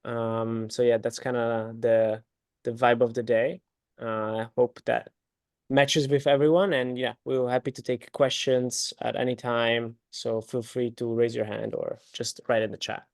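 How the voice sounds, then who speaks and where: A man's voice: medium pitch, level tone, measured pace, gravelly, no room sound, clean studio sound. Easygoing man with an Italian accent. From Italy. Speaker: webinar speaker, entrepreneur. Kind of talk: casual webinar talk.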